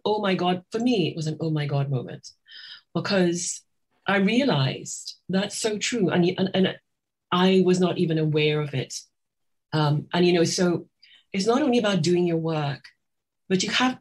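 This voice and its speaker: Woman, low-pitched, husky, reflective, restarts phrases, psychotherapist guest. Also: darkish tone. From Trinidad. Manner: thoughtful, with many restarts and fillers. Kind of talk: reflective interview talk.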